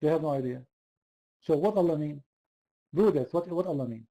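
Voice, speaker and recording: A man's voice: on the high side, fairly quick, mellow. A man hosting a heated online debate, pressing the same question again and again. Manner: insistent, repeats the same question. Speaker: debate host.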